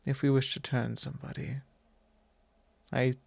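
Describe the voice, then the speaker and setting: A man playing a courteous, confiding vampire lord in a soft, intimate ASMR roleplay, speaking solemnly and tenderly in measured, formal, hesitant phrases close to the microphone. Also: medium pitch, flat delivery, creaky, no room sound.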